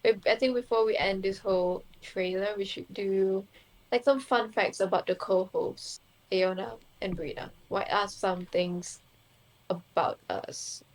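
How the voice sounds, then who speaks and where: A woman's voice: medium pitch, measured pace, rough recording. A young woman co-hosting a podcast, chatting casually and earnestly and laughing easily. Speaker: podcast co-host. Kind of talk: casual chat.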